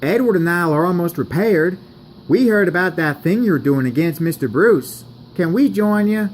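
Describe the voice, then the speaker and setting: A man's voice: high voice, lively intonation, moderate pace, slight background noise, pitched above the performer's own voice, poor audio. A man voicing an eager, friendly, pleading steam engine in a homemade story.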